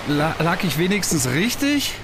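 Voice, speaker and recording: A man's voice: a little high, big pitch swings, moderate pace, noisy background. A man playing an awkward, nervous young stage mentalist in a science-fiction radio play, stammering and hesitant. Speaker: voice actor in an audio drama.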